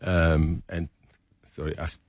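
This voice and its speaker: A man's voice: extremely low pitch, flat delivery, measured pace, dry recording. An older man in his seventies with a Greek accent, telling his story in a joking, self-deprecating way as a guest in a studio interview. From Greece. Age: 70s. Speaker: restaurateur guest. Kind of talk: casual interview chat. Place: radio studio.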